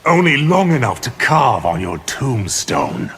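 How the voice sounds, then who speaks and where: An actor playing an arrogant, scheming evil sorcerer in a video game, with a haughty, menacing, theatrical delivery. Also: male voice, mid-range voice, very animated, unhurried, very noisy. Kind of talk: video game villain dialogue. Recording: echoing hall reverb over music.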